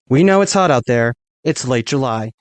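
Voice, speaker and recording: A man's voice: medium pitch, lively intonation, moderate pace, no echo, lightly acted. Guy playing earnest radio news anchor, mid-range voice, dry studio sound. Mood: serious.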